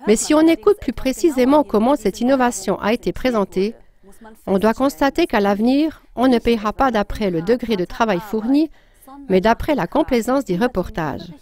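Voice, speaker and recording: A woman's voice: on the high side, lively intonation, normal speed, a little mellow. A woman reading a scripted news commentary as a voice-over, in an earnest, emphatic tone.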